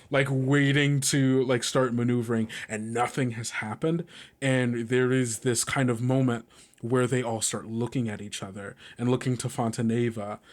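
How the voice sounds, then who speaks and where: A man narrating a tabletop game as game master, improvising vivid descriptions in halting, pieced-together phrases. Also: medium pitch.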